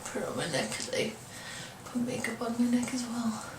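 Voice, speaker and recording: A woman's voice: a little high, animated, moderate pace. Young woman, kinda stuffed up, lots of ums, sounds a bit far from the mic in an echoey room w light hiss. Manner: chatty, hesitant with many ums, apologetic. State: stuffed up, congested. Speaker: beauty vlogger. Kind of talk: casual makeup tutorial. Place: room at home. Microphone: camera mic from a distance. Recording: roomy, distant camera audio with faint hiss.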